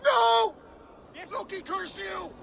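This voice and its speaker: A man's voice: very high-pitched, slow pace, creaky, noisy background, heavily acted. An actor playing a sly, cowardly male merchant in a video game cutscene, with a wheedling, pleading salesman's patter. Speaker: merchant character.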